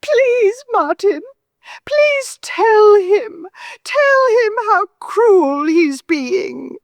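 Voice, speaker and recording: A woman's voice: extremely high pitch, very animated, very slow, no echo, clean studio sound, heavily acted. An actress playing a bitter, manipulative older mother-in-law in an audio drama, theatrical and guilt-tripping. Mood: crying, pleading.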